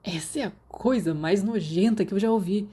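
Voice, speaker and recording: A woman's voice: medium pitch, moderate pace, dark tone, breathy edge. A woman voicing a naive, blunt, defensive teenage boy in audiobook dialogue. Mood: defensive, disgusted. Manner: blunt, grumbling, hesitant.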